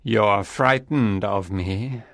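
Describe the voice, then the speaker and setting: A man's voice: fairly low pitch, slow, sharp tone, no echo, clean studio sound, full character voice. A man voicing a menacing, lovesick madman in audiobook dialogue. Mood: soft, pleading. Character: menacing, lovesick madman, pleading then angry.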